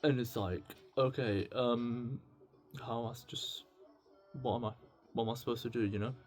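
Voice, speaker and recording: A man's voice: medium pitch, steady tone. A British man speaks off the cuff, as if into a personal video diary, with faint music playing behind him. His delivery is casual and confessional. He rambles and halts, restarting thoughts and putting himself down as he goes. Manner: rambling, halting, self-deprecating. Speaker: video diarist. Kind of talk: casual unscripted confessional monologue.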